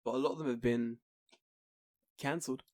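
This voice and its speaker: A young man from England, co-hosting a casual podcast, tells animated stories, laughs often and swears casually, on a boom-arm studio mic in a small room. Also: fairly quick. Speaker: podcast co-host. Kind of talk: casual chat. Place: small home room, indoors.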